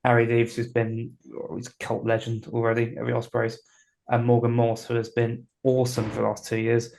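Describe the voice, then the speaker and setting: A man's voice: mid-range voice, completely flat delivery, brisk, vocal fry, darkish tone, rough recording. A man hosting a casual podcast, steering the talk and teasing his co-hosts. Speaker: podcast host.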